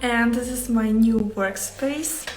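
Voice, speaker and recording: Ukrainian accented lady chatting on a livestream, slow n hesitant, echoey room w music behind. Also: fairly high pitch. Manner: hesitant, searching for words. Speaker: embroidery artist hosting a livestream. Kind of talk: casual livestream chat to viewers. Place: small home workspace indoors.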